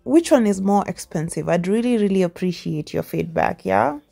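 Young woman vlogger, lively pitch, chatty voice-over that keeps asking viewers for their opinion. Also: moderate pace, vocal fry, faint music behind. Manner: chatty, asks viewers questions. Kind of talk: casual voice-over for a shopping tour.

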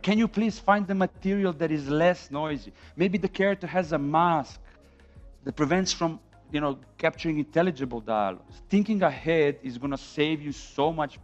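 A man in his thirties from Serbia with a Serbian accent, giving an informal, emphatic talk as a conference speaker. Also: a little high. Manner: animated, emphatic, rhetorical questions. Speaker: conference speaker on film sound. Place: dim indoor conference room, on stage. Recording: dull lo-fi event recording with music.

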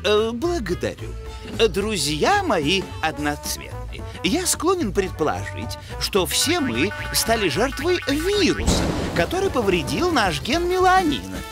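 An actor voicing a pedantic, courteous moose scientist who lectures excitedly in an educational cartoon, with hesitant little openings. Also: male voice, high-pitched, unhurried, heavily acted. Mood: earnest lecturing. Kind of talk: animated educational cartoon dialogue. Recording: music behind the voice.

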